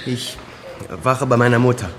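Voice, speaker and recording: A man's voice: medium pitch, completely flat delivery, moderate pace, fairly bright tone, a little hiss or hum, natural voice. An actor playing a bitter, sarcastic middle-aged son who accuses his father in a naturalistic radio drama. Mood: guarded.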